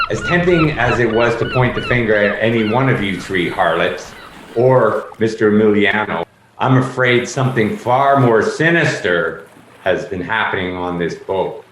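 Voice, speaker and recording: A man's voice: lowish voice, wildly swooping pitch, fairly bright tone. Man acting a theatrical inspector in a roomy recording. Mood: deliberate, dramatic. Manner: deliberate, accusatory, dramatic. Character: shrewd, theatrical police inspector unveiling a murder. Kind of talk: radio play acting. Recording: roomy, faint background noise.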